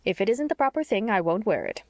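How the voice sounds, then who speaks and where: A woman voicing a blunt, sharp-tongued older spinster in an audiobook story, with a brisk, scolding manner. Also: medium pitch, expressive, no room sound, close to an everyday voice. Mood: tart.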